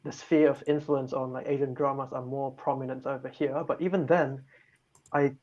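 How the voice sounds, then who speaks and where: A man's voice: mid-range voice, level tone, normal speed, a little mellow. A man from Malaysia, talking hesitantly as a guest, thinking aloud with many restarts. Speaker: guest on talk show. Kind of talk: casual chat. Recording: dull lo-fi mic.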